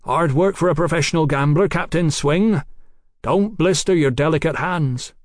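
A man's voice: on the high side, animated, normal speed, dry recording, clean studio sound, voice pushed up. A man voicing a defiant, blustering adventurer in an audiobook, emphatic and combative. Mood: defiant, taunting. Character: Doctor Mallory, defiant blustering male adventurer under siege.

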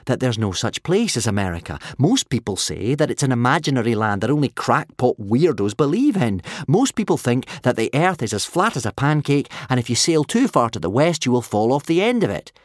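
A man's voice: mid-range voice, very animated, a little fast, few pauses, no echo, clean studio sound, voice pushed up. A man voicing an earnest, worried young boy in a storybook, sensible and questioning as he argues. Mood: sceptical arguing.

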